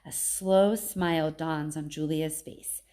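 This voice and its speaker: A woman teacher reading a children's novel aloud in an expressive storytelling style. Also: low-pitched, slow pace.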